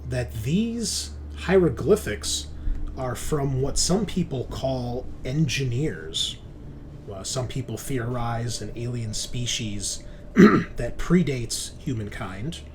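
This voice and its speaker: A man's voice: medium pitch, a little mellow. A man narrating a tabletop roleplaying game as game master, explaining the setting in a conversational way. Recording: clearly noisy background.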